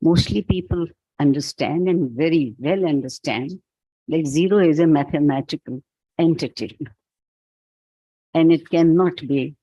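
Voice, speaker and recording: A man with a Pakistani accent delivers a philosophical keynote at an unhurried, deliberate pace, pausing and restarting as he thinks aloud. His voice is soft and mellow. The sound is close and dry, with no room echo. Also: a little high. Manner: slow, measured, philosophical, halting. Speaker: keynote speaker. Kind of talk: reflective keynote talk. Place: event hall.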